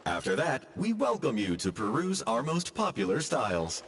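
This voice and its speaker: A man's voice: medium pitch, wildly swooping pitch, moderate pace, a bit bright. A man voicing a polite mechanical factory tour guide in a video game, giving formal, courteous scripted announcements. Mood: courteous, welcoming. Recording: clear noisy ambience behind.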